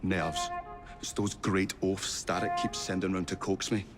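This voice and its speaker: A man's voice: deep voice, level tone, measured pace, music in the background. An actor playing a nervous, eccentric young male inventor who hesitates with many ums and uhs, in a game cutscene. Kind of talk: video game cutscene acting.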